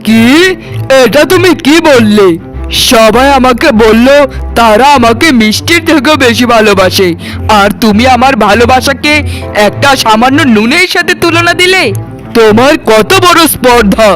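A man playing a proud, vain, ageing landlord father in a melodramatic cartoon, declaiming grandly and quick to take offence. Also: very high voice, lively intonation, a bit bright, faint music behind. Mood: offended, stern. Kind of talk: melodramatic cartoon story acting.

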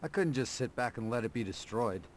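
A man's voice: mid-range voice, lively intonation, brisk, a little hiss or hum, close to an everyday voice. A man playing a laid-back veteran skateboarder in a video game. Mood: appreciative. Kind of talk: video game cutscene acting. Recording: loud music over dialogue.

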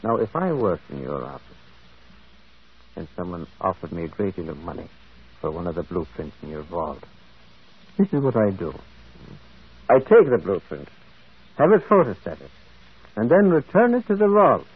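A husky, thoughtful priest detective in an old radio mystery. He muses gently and shrewdly, with lively rises and falls, through a tinny, narrow broadcast sound. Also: low-pitched, normal speed, pauses often, full character voice. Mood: quietly insistent. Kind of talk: old radio drama acting.

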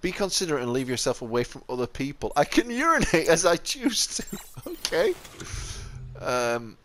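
A man's voice: on the high side, very animated, moderate pace, fairly bright tone. A man with a South Yorkshire accent giving casual, rambling gameplay commentary, often correcting himself mid-sentence. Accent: South Yorkshire English. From England. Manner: rambling, chatty, self-correcting, laughs often. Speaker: gaming channel host. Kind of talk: casual let's-play commentary. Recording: game music faintly behind.

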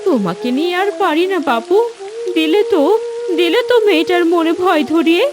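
A woman's voice: extremely high pitch, animated, brisk, over music. A woman voicing a nagging, worried village wife and protective mother in a fairy-tale cartoon. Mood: exasperated, worried.

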